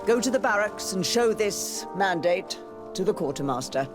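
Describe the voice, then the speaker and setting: Actress doing a bossy stern cardinal lady, fantasy game, very animated pitch, music behind. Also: normal speed, close to an everyday voice. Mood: gracious, commanding. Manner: authoritative, formal, commanding. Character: stern, imperious high churchwoman, a Mother Cardinal. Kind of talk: video game dialogue acting.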